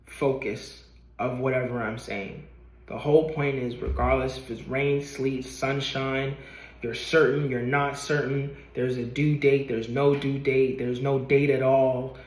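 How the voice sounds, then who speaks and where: A man's voice: mid-range voice, reverberant, low-quality recording. A man in his late twenties talking to a camera in a motivational vlog, earnest and rambling, swearing casually and repeating himself. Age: 20s. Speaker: vlogger.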